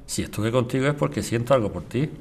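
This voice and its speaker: A man's voice: mid-range voice, animated, quick pace, natural voice. A man acting an earnest, apologetic married suitor in an amateur radio drama. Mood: earnest, smitten.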